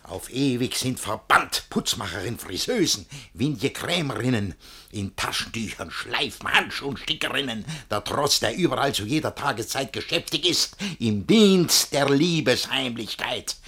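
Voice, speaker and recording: An actor playing a jealous, lovesick older master who declaims rhymed verse in a classic German radio drama. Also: male voice, medium pitch, lively intonation, normal speed, a bit bright, slightly rough. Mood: suspicious, resolute. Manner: theatrical rhymed verse declamation, swinging from brooding to outraged.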